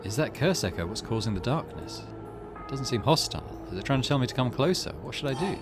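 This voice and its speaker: A man's voice: mid-range voice, lively intonation, fast. A man hosting a let's play, chatting casually, thinking aloud and reading game text out as he goes. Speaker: gaming channel host. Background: game music.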